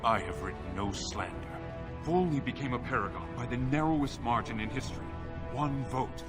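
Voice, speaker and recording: Guy playing an earnest formal scholar in a fantasy game, mid-pitched, precise, music behind. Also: animated, moderate pace, lightly acted. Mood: earnest, defiant. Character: earnest, principled male scholar historian, humble but stubborn.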